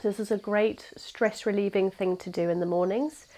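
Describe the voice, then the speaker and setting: A young woman teaching a gentle yoga class, her voice congested and nasal from a cold, guiding slowly and calmly. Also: medium pitch. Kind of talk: guided yoga class instruction.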